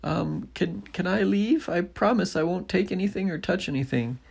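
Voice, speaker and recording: A flustered young girl , played by a dad reading aloud, stammers apologies and pleas in a raised, bright voice. Her words come out loud and tumbling, with a husky, gravelly edge underneath. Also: high voice, expressive, moderate pace, voice pushed up, exaggerated voice. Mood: flustered pleading.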